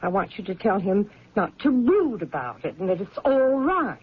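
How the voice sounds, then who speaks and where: An indulgent mother in a radio play, coaxing and pleading. Her husky voice swings widely up and down. The sound is narrow and tinny, like a phone. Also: mid-range voice, big pitch swings, moderate pace. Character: indulgent mother, soft on her grown son. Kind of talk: radio drama acting. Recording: tinny, band-limited old radio sound.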